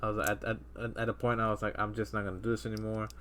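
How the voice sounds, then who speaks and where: Low-voiced man rambling on a podcast. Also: completely flat delivery, very fast, fairly bright tone. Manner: rambling, many fillers and restarts. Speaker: podcast host. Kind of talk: casual chat.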